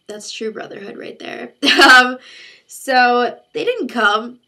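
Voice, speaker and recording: A woman's voice: fairly high pitch, drawn-out words, pushed and bright. A young woman with a congested sick voice, chatting in a rambling, talkative way to her viewers. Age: young adult. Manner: chatty, rambling storytelling with many fillers. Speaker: vlogger. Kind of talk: casual chat to camera.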